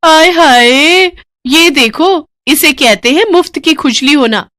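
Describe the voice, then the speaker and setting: A woman voicing a sharp-tongued, defensive wife in a cartoon story, brazen and sarcastic with dramatic retorts. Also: sits high, slow, no room sound, studio quality, voice pushed up. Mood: indignant, taunting. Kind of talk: Hindi cartoon story dialogue.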